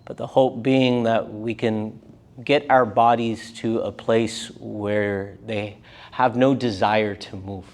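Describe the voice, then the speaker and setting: A man teaching a live yoga and meditation class, speaking gently in short, unhurried phrases with pauses between them. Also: fairly low pitch, fairly even intonation. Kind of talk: guided meditation class instruction.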